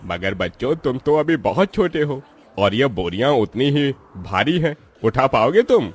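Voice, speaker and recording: A man's voice: mid-range voice, big pitch swings, measured pace, breathy edge. A man playing a kindly, fatherly older grain merchant and employer in a moral-story cartoon. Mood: brisk, doubtful.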